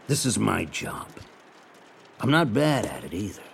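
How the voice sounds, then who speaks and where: An actor playing a sardonic, world-weary 1930s private detective in a noir audio drama, with a dry, wry, measured delivery. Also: male voice, on the low side, sing-song, gravelly, a bit bright, slight background noise, full character voice. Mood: probing, sardonic.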